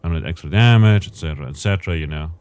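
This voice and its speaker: A man's voice: low-pitched, quick pace, darkish tone, slight background noise. A man giving casual gameplay commentary, thinking aloud with self-corrections, his voice strained lately.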